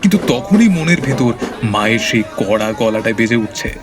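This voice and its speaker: A man's voice: medium pitch, animated, moderate pace. A man with a Bengali accent narrating a scripted audio story. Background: background music.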